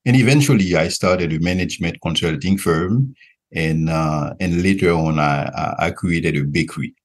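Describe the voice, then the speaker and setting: A Haitian-accented man speaks as an interview guest in a deep, husky voice. He answers conversationally, in short measured phrases with frequent pauses. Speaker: podcast guest, regional director and visiting professor.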